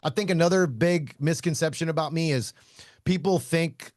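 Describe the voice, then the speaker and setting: A man who hosts a podcast and teaches shooting, talking casually in a loud voice, blunt, laughing often and swearing freely. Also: a little high, moderate pace, no room sound, clean studio sound. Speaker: shooting instructor and podcast host.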